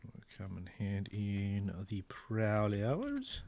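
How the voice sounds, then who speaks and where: A man giving relaxed, rambling, self-deprecating commentary while he plays a game. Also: fairly low pitch, slow pace, creaky. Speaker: gameplay streamer. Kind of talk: casual gameplay commentary.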